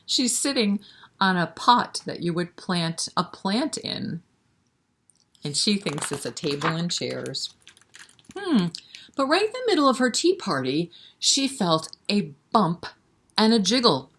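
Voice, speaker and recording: Slow, expressive woman reading to children. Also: mid-range voice. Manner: expressive, encouraging, asks the listeners questions. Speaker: librarian leading story time for children. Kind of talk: animated picture-book read-aloud with asides to children.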